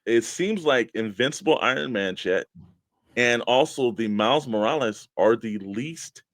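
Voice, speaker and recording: A man's voice: medium pitch, lively intonation, measured pace, no room sound. A man co-hosting a casual talk show about a hobby, speaking in an informal, rambling way. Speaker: show co-host. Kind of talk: casual chat about toy collecting.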